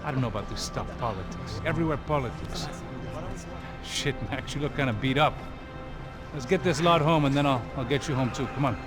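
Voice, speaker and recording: An actor playing an excitable, friendly bodyguard partner in a video game, talking in an informal, chummy way. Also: male voice, mid-range voice, brisk, lightly acted. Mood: relieved, chummy. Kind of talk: game cutscene dialogue. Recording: loud music and crowd noise.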